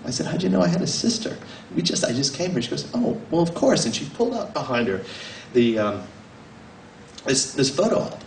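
A man in his forties, an author, giving a casual, halting talk with many fillers and restarts to a small audience in a library. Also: on the high side, big pitch swings, gravelly, a little hiss or hum. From United States. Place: library room, indoors. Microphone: table mic on a stand, filmed from a distance. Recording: roomy, mic at a distance.

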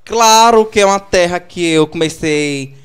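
A man's voice: sits high, normal speed, smooth. A young man in his twenties from Alagoas, Brazil, talking in a campy, theatrical way into a handheld mic in a small TV studio. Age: 20s. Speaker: drag persona guest. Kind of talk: playful interview banter. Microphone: handheld microphone.

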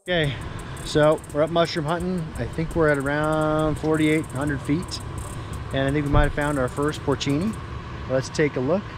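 A man's voice: fairly high pitch, fairly even intonation, normal speed, over music. A man in his forties filming an outdoor camping vlog, narrating and coaching with enthusiasm at a lake and campsite. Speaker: vlogger. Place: outdoors at a forest lakeside and campsite.

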